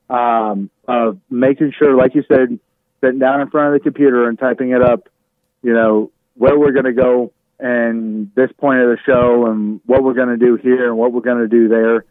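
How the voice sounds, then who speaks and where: A radio host chatting casually as an interview guest over a thin, narrow call line. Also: male voice, medium pitch, unhurried, no echo. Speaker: radio show host appearing as a podcast guest.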